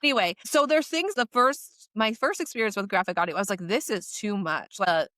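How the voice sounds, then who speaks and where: A woman's voice: medium pitch, a little fast, a bit bright, no echo. A woman co-hosting a podcast in casual conversation, acting out her reactions as quotes as she talks. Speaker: podcast co-host. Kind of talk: casual chat discussing books and audiobooks.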